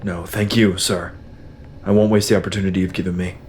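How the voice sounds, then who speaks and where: An actor playing a weary, guarded sergeant, terse and subdued, in an audio drama. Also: male voice, low-pitched, little pitch movement, fairly quick, a little mellow, a little hiss or hum, close to an everyday voice. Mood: respectful, uncertain.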